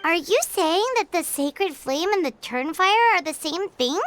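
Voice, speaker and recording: A woman voicing a tiny floating fairy-like girl companion in a video game, chirpy and excitable, firing off eager questions. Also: extremely high pitch. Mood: curious. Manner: quick eager questions, bouncy and boastful. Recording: game audio with loud background noise.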